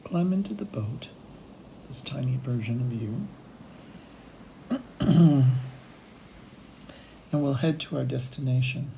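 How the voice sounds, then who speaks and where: A woman leading a guided meditation, speaking in unhurried phrases with long pauses between them. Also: very deep voice, creaky, dark tone, slight background noise, poor audio. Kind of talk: guided meditation, gentle imagery narration.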